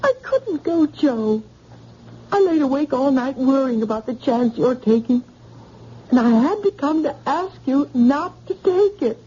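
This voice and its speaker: A high, pleading young wife frets over her husband's safety. Her tone is dark and mellow. The sound is a dull old radio broadcast. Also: lively intonation, a little slow. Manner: pleading, anxious. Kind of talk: 1940s radio acting.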